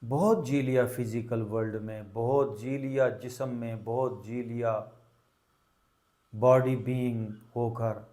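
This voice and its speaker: A man leading a guided meditation as a teacher, giving calming, deliberate instructions in short phrases with long pauses. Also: mid-range voice.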